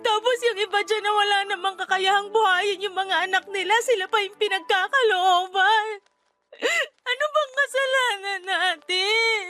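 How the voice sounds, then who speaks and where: Sobbing wife in a radio drama, super high sharp voice, pleading and lamenting, music underneath. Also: female voice, steady tone, moderate pace. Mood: bitter, tearful. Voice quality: tearful, sobbing voice. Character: anguished wife longing for a baby, tearful, fearful. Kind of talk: Tagalog radio drama acting.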